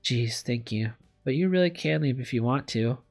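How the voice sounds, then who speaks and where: A man's voice: mid-range voice, expressive, normal speed, a little mellow, soft background music. A man voicing a small, weary, caring woman teacher from a visual novel, subdued and reflective. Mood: grateful.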